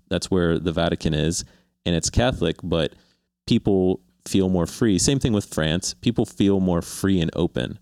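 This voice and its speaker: A man hosting a conversational interview, putting his questions in a thoughtful, halting, rambling way. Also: low-pitched, darkish tone, no echo, studio quality. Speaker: interviewer, host. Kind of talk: conversational long-form interview.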